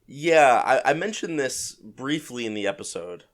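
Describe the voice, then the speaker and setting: A man's voice: medium pitch, sing-song, measured pace. A man with a slightly congested voice from a mild head cold, hosting a casual solo gaming podcast in a conversational, hedging way, thinking aloud. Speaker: podcast host. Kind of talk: casual solo podcast commentary on a video game.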